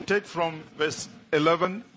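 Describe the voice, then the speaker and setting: A middle-aged man from Africa whose first language is French, preaching a sermon as a visiting pastor, talking quickly with many fillers and restarts. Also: a little high, steady tone, fairly bright tone, slight background noise. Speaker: guest pastor.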